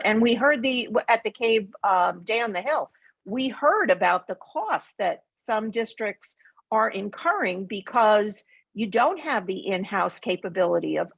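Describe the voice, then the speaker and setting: A woman with a fairly high, husky voice. She speaks as a school board chair in an informal meeting discussion.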